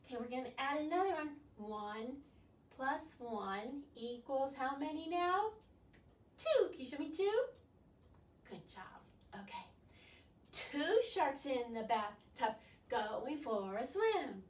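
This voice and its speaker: An older woman leading a children's storytime as a librarian, animated and instructing, talking directly to young viewers with questions. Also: high voice, slow pace. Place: small living room, indoors. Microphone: far camera. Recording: roomy, distant camera microphone.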